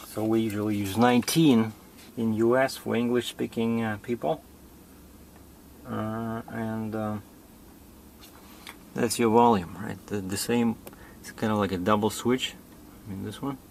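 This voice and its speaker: Low-voiced, gravelly man giving halting instructions. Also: flat delivery. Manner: halting, improvised, thinking aloud. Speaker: demonstrator giving setup instructions. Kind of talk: informal hands-on tutorial. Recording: clearly noisy amateur recording.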